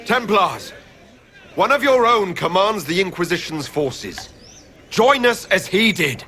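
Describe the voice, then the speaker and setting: A man's voice: high-pitched, very animated, takes it slowly, full character voice. A man playing an indignant, commanding warrior in a fantasy video game, speaking out with urgency. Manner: forceful, urgent.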